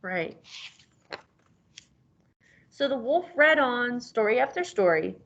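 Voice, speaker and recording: A woman's voice: on the high side, expressive, slow, creaky, fairly bright tone, dull audio. A woman reading a storybook aloud like a teacher, encouraging and prompting the listener with questions.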